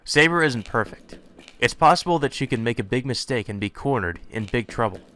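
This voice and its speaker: A man's voice: medium pitch, lively intonation, brisk, a bit bright, lightly acted. A man voicing an earnest young male hero, anxious and determined, narrating his own story in a visual novel.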